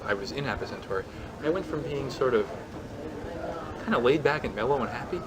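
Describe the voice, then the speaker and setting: A man's voice: animated, brisk. A man speaking casually in a hesitant, rambling way about himself. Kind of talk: casual monologue. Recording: loud background noise, vehicle-like rumble.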